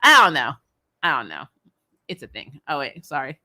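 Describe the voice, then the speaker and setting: An American woman hosting a casual recap show, chatting in a blunt, animated, opinionated way with informal language. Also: low-pitched, moderate pace. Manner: animated, frequently laughing, blunt and informal with mild swearing. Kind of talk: casual opinionated fan chat, reading and answering comments.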